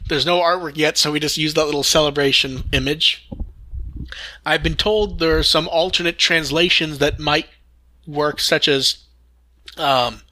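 A man's voice: on the high side, sharp tone. A man hosting a casual fan news chat, talking in an enthusiastic, rambling way. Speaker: podcast host.

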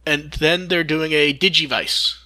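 Male podcast host, very bright forceful voice, rambling fan enthusiasm. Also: a little high, measured pace. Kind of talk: casual fan news chat.

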